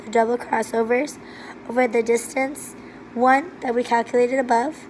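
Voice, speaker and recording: A woman whose voice is hoarse and worn from laryngitis talks through a recorded presentation, one step after another. Her voice sits a little high and hardly rises or falls. A faint hiss lies under her words. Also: normal speed, poor audio. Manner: steady, methodical, step-by-step. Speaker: student presenter. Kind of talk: recorded slide walkthrough.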